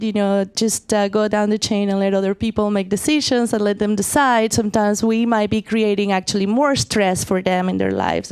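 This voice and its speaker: A woman's voice: medium pitch, gravelly, darkish tone, clean tone. A young woman from Venezuela in the audience, offering comments in a thoughtful, hesitant way. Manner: hesitant, thoughtful, many fillers. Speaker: audience member asking a question.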